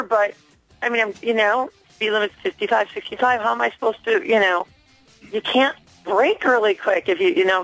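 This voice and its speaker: A woman's voice: medium pitch, fairly bright tone, no echo. A woman phoning a radio station as a listener, making an earnest, insistent complaint in a hesitant, spontaneous way. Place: phone call into a radio station. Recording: dry telephone line to radio studio.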